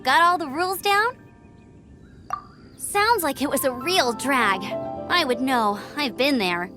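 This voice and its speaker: A woman's voice: high voice, animated, slow. A woman voicing a peppy, upbeat young woman knight who chats eagerly in a game dub. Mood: cheerful. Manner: bubbly, upbeat, chatty. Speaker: gliding examiner. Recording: game audio with music behind.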